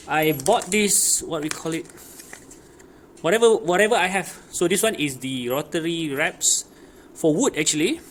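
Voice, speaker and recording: A man with a slightly high voice talks through a casual DIY tutorial. His phrasing is improvised and hesitant, with pauses as he looks for words. There is some background noise around him. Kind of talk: casual hands-on how-to narration. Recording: clear background noise, ambient sound.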